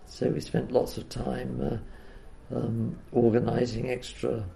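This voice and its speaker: An older man with a croaky voice, recalling the past in an interview, hesitating often with uhs and ums. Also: mid-range voice, level tone, unhurried, warm tone, a little hiss or hum. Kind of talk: oral history reminiscence.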